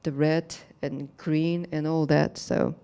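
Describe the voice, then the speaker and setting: Halting, soft-voiced woman artist. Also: low-pitched, little pitch movement, vocal fry, clean tone. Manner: halting, plain non-native English with many "you know" fillers. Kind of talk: gallery talk about her art. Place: gallery, speaking to an audience.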